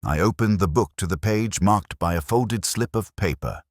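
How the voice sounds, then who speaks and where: A man playing a contemplative, brooding police detective, narrating a mystery audio drama in the first person with measured, solemn, literary delivery. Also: deep voice, lively intonation, no echo, studio quality. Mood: brooding, reflective. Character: brooding, contemplative male police detective recounting a murder case.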